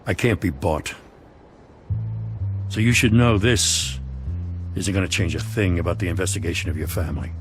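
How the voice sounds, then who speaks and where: A man playing a weary, honest middle-aged police lieutenant in a dramatic video game, blunt and plain-spoken with a gravelly voice. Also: deep voice, normal speed, long pauses, over music, close to an everyday voice. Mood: blunt, firm.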